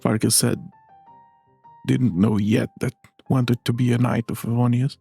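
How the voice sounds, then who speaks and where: A male game streamer with a husky, creaky voice at a medium pitch. He comments casually and thinks aloud as he plays, with game music behind. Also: fairly even intonation, measured pace, dark tone. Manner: informal, reactive, thinking aloud.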